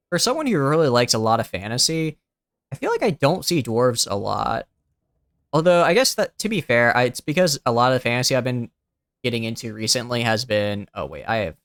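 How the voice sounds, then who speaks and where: A young male streamer with a smooth, clean voice that sounds youthful, recorded close and dry with no room sound. He rambles in relaxed commentary, thinking aloud with plenty of fillers and restarts. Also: medium pitch, no echo. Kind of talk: casual gameplay commentary.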